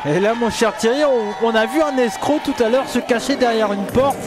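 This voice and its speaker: Chatty high-voiced wrestling commentator from Brittany. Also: male voice, moderate pace. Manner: lively, chatty, often addresses co-host. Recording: loud crowd and music behind.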